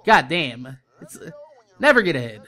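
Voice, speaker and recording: Young american dude ranting to his youtube viewers, bright edgy voice, pitch goes all over, swears a ton. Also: moderate pace. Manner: profane, exasperated, animated venting with emphatic repetition. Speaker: YouTube host. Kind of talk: casual storytime rant.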